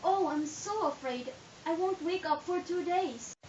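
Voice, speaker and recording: A woman's voice: very high-pitched, a little slow, a little mellow, slight background noise. A woman playing a desperate, lovesick teenage girl who pleads and frets, in an amateur stage reading. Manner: pleading, anxious. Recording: echoey room, mic far off, dull sound.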